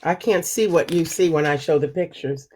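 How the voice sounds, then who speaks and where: A woman reading a picture book aloud to children, slow and expressive, pausing to ask them questions. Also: sits low, husky, rough recording. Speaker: children's story reader. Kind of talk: read-aloud storytelling for children.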